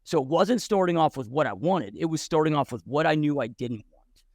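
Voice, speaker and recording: 40s guy on a podcast, raspy fry in his voice, dead dry sound, talks blunt and cusses, lots of dude. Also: on the high side, expressive, a little fast, no room sound. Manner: blunt, swears freely, says "dude", many restarts. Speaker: podcast guest. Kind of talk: casual storytelling interview.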